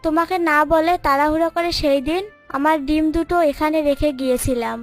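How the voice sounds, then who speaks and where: A woman's voice: high-pitched, a little fast, soft background music. A woman voicing a fierce, protective mother serpent in a children's cartoon, dramatic, emphatic and emotional. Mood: remorseful.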